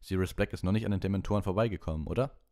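A man's voice: deep voice, very fast, a little mellow, voice pushed up, close to an everyday voice. A man voicing a resolute, blunt and loyal schoolboy in a storybook, with a decided, straightforward manner.

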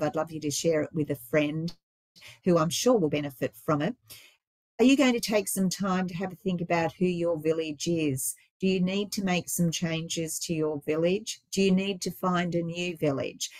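A woman's voice: lowish voice, dry recording. A woman in her sixties hosting a podcast over a video call from home, her voice a bit scratchy and tired, chatting casually with many fillers. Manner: warm encouraging wrap-up, many fillers. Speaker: podcast host. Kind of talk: casual interview chat. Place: home room indoors. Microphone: video call webcam.

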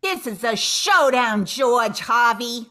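A woman's voice: on the high side, expressive, slow, exaggerated voice. A woman playing a brassy, emphatic dame who poses as a wronged wife in an amateur radio play. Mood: confrontational. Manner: forceful, emphatic. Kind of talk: amateur old-time radio play acting. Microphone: computer on video call.

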